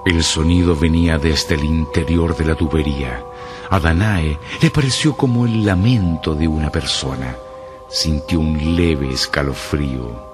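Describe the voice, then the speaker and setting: Very deep-voiced mystery narrator. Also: male voice. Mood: ominous. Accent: Chilean. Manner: measured, dramatic. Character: ominous, deliberate male storyteller of mysteries. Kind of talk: radio drama mystery narration. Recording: music behind the voice.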